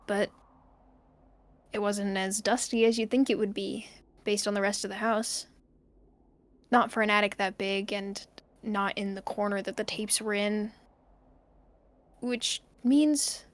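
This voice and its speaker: A woman playing an anxious amateur investigator in an audio drama, dictating personal notes in halting, hesitant fragments. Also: medium pitch, no room sound, lightly acted. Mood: hesitant, puzzled. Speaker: main character recording an audio journal.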